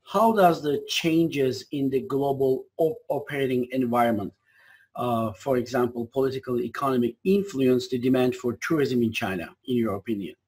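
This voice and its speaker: A man's voice: mid-range voice, normal speed, a little mellow, husky, low-quality recording. A man for whom English is a second language, politely moderating a webinar and reading out audience questions with some hesitation. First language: not English.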